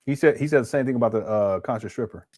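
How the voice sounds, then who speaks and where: A man's voice: medium pitch, fast talker, mellow. A man commenting live on a video clip, laughing often and teasing with sarcasm. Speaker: commentator reacting to a livestream.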